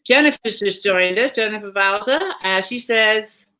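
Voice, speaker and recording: A woman's voice: medium pitch, normal speed, bright, forceful tone, poor audio. A woman with a British accent hosting a casual live author chat from home, talking chattily and laughing often. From Britain. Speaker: host.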